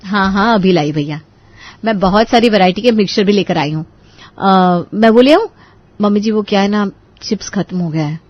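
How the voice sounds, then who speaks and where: A woman's voice: mid-range voice, normal speed, dry recording, dull audio, natural voice. A woman voicing a dutiful, shrewd young daughter-in-law in a family story. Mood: eager, obliging.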